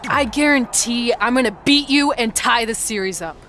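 A woman's voice: a little high, moderate pace, fairly bright tone, slight background noise, lightly acted. An actress playing a determined woman wrestling champion in a video game, earnest and assertive. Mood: confrontational. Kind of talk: scripted video game cutscene acting.

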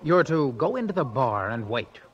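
A man's voice: mid-range voice, sing-song, moderate pace, dull audio, slight background noise, close to an everyday voice. An actor playing a polite, deferential young hotel errand boy in a 1940s radio drama. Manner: deferential, chirpy.

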